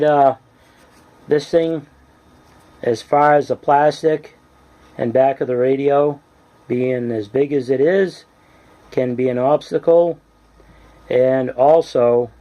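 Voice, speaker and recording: A man presenting a casual hands-on tutorial as a hobbyist, speaking in a halting, deliberate, plain-spoken way. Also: mid-range voice, muffled recording. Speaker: hobbyist presenter demonstrating radio gear.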